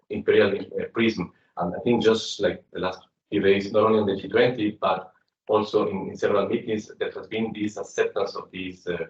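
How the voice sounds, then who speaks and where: A man from Ecuador asking a question from the seminar audience, searching for his words. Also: fairly low pitch. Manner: searching for words, with many fillers. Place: seminar room. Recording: roomy, distant and muffled.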